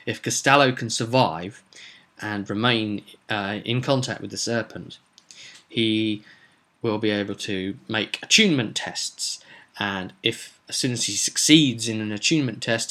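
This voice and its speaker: A man speaking as a game master, explaining a tabletop campaign informally with halting phrasing and dramatic storytelling emphasis. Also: lowish voice, pushed and bright.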